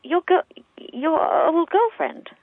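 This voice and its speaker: An anxious wife on the phone. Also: female voice, very high-pitched, lively intonation, slow pace, dry recording, heavily acted. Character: Elizabeth, Robert's anxious estranged wife. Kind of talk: radio drama acting. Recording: dry tinny phone line.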